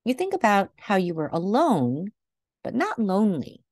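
A woman reading a picture book aloud to children in a gentle, deliberate way, recorded indoors over a video call. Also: mid-range voice, wildly swooping pitch, dry recording, clean studio sound. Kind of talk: read-aloud storytelling for children.

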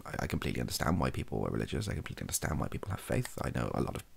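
Young British male, soft-spoken ASMR chat; husky, lively intonation, hesitant and informal. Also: very deep voice, very fast. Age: young adult. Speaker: content creator. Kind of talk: softly spoken ASMR-style casual chat to camera. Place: small cluttered bedroom, indoors.

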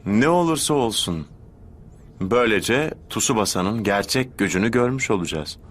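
A man playing a scheming, condescending boss of a villainous organisation in a dubbed anime, smooth and calculating. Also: on the low side, expressive, moderate pace. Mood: intrigued, scheming. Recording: loud music behind the voice.

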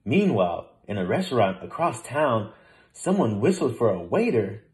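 A man's voice: medium pitch, sing-song, moderate pace. A man reading a children's picture book aloud as narrator. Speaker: storybook reader. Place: small room at home, indoors.

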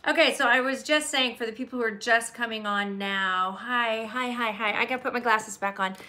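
A woman's voice: a little high, a little fast, bright, forceful tone. A woman in her late fifties hosting a casual live chat from home on a webcam, greeting viewers by name and talking candidly. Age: 50s. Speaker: livestream host. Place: home room with leather chair by window. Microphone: webcam at desk.

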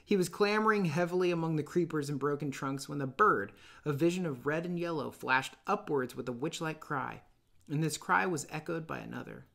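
A man in his thirties teaching and reading aloud in an expressive, emphatic, explanatory way. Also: on the high side, brisk, vocal fry. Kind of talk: explanatory lesson and storybook narration. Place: small dim room indoors.

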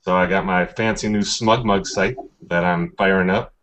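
A man's voice: sits low, steady tone, normal speed, a bit bright, slight background noise. A man in his thirties from Texas, a photographer guest chatting on a webcam call in an easygoing way full of fillers. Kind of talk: casual video call chat. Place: room at home. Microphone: webcam video call.

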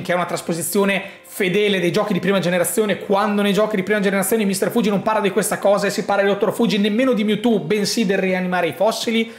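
A man gives an animated, emphatic explainer monologue in a conversational storytelling way. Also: high-pitched, reverberant. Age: 30s. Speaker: video host and commentator. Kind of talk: enthusiastic explainer monologue to camera. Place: small furnished room at home, indoors.

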